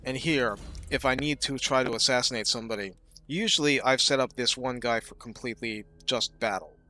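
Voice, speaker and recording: A man giving casual gameplay commentary, explaining his strategy thoughtfully and often pausing with fillers. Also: mid-range voice, bright, forceful tone.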